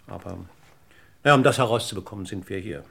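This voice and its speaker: An actor playing a courteous, methodical police inspector in a German radio crime drama, questioning with formal, deliberate politeness. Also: male voice, fairly low pitch, gravelly, a bit bright, husky, heavily acted. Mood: measured, probing. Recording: noisy old radio play recording.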